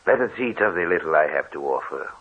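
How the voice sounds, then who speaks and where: An actor playing a kindly, fatherly older priest who speaks gently, in 1940s radio drama style. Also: low voice, expressive, fast talker, slightly rough. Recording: dull old radio recording.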